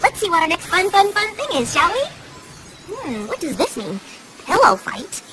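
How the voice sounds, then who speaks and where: A woman's voice: extremely high pitch, wildly swooping pitch, moderate pace, background noise, heavily acted. A woman voicing an earnest, bookish young female pony in a cartoon, precise and a little fretful in manner. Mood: hesitant, instructive. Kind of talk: cartoon voice acting. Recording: loud music under the voice.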